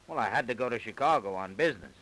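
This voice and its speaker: A man's voice: medium pitch, expressive, rapid-fire, a bit bright, a little hiss or hum, natural voice, rough recording. An American man acting an evasive, stubborn murder suspect under questioning in a 1930s radio crime drama. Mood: glib. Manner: evasive, glib, defensive. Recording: vintage 1930s radio recording.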